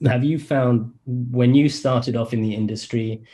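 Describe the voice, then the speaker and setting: A man's voice: medium pitch, fairly even intonation, measured pace, mellow. A man moderating a casual panel discussion, thoughtfully picking up and summing up points, with frequent ums.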